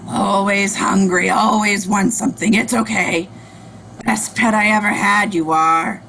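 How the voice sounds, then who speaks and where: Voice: medium pitch, steady tone, gravelly, pushed and bright, exaggerated voice, low-quality recording. A performer at a home table read playing a muttering old woman who fondly scolds her pet, with faint noise behind. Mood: doting, scolding. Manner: slow muttering to herself.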